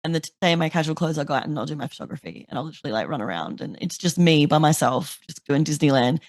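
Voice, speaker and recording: A woman hosting a podcast with a deeper voice, telling stories in a chatty way and swearing freely. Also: animated, racing, darkish tone, dry recording, clean studio sound. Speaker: podcast host. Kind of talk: casual chat.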